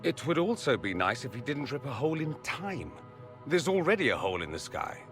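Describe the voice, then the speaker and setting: An actor playing a witty, self-assured foreign mage in a video game, with an arch, flamboyant manner. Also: male voice, mid-range voice, sing-song, fairly quick, soft background music, lightly acted. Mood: wry. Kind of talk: video game dialogue acting.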